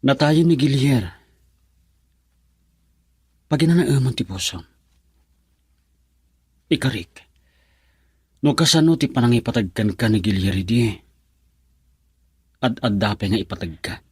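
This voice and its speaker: Radio drama husband, played by a man: brooding, wounded, earnest melodrama, husky unclear voice, close dry sound. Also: medium pitch, unhurried, pauses often, darkish tone, dry recording. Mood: earnest, pleading. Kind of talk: Ilocano radio drama acting.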